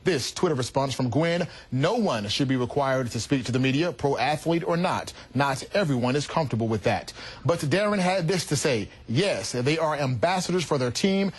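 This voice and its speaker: A man's voice: mid-range voice, lively intonation, normal speed, husky. A man anchoring a morning newscast, reading scripted news to viewers.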